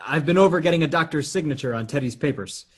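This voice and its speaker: A man's voice: a little high, fast, a little hiss or hum, natural voice. A man playing a frantic, exasperated nephew in a radio play, flustered and stammering with urgency.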